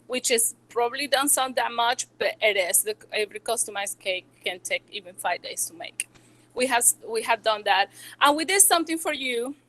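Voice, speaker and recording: A woman from Venezuela with a Venezuelan Spanish accent, a small business owner giving a nervous, halting talk with many restarts. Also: on the high side, a bit bright, low-quality recording. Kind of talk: presentation to educators.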